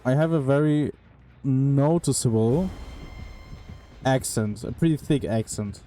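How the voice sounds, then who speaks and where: Mellow-voiced young man streaming games. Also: mid-range voice, measured pace, a little hiss or hum. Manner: puzzled, chatty, talks to chat. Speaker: game streamer. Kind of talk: casual live-stream commentary while playing.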